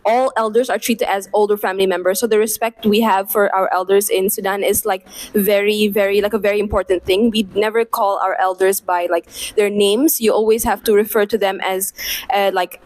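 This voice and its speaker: A woman's voice: mid-range voice, a little fast. A young woman raised in Malaysia talks through her interview answers at an easy pace. She fills her sentences with "like" and "uh". The sound is dry and close, with no room echo. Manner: relaxed, many fillers like "like" and "uh". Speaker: interviewee, medical student.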